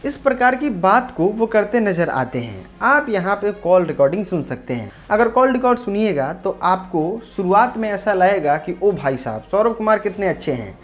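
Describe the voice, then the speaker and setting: A woman's voice: mid-range voice, big pitch swings, normal speed, few pauses. A woman presenting a local news report, narrating and speaking directly to viewers. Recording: faint music bed behind voice.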